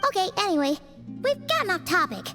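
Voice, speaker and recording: A woman voicing a small, chatty, excitable female fairy companion in a game cutscene. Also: extremely high pitch, in a large hall, faint music behind, full character voice. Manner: chatty, blurts out reactions, quick to exclaim.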